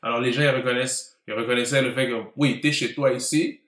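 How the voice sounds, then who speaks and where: Bright-voiced young Quebec man, echoey room. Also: mid-range voice, fairly even intonation, quick pace. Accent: Quebec French. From Montreal, Canada. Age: late 20s. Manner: mixes English words into speech. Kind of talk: casual conversational chat.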